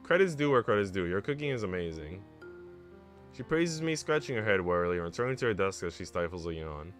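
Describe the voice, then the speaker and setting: A man's voice: low-pitched, expressive, quick pace. A man live streaming, switching between casual chat with viewers and reading story text aloud. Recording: music playing behind the voice. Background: background music.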